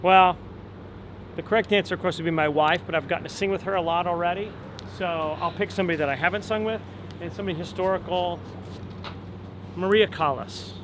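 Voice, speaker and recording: A man's voice: sits high, brisk, long pauses. Thoughtful man talking outdoors over street noise. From Long Island, New York. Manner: relaxed, thoughtful, many ums and restarts. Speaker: opera tenor guest. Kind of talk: casual interview while walking.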